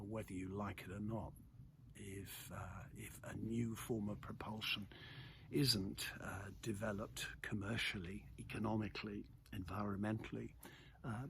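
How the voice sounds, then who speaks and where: A British man giving a casual, off-the-cuff commentary on the news in a reflective, halting way. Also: on the low side. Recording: clearly noisy ambient background.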